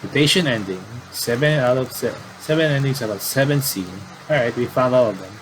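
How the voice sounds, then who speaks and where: A man doing casual game commentary and reading aloud, in a halting, rambling way with repeated words. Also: mid-range voice, background noise.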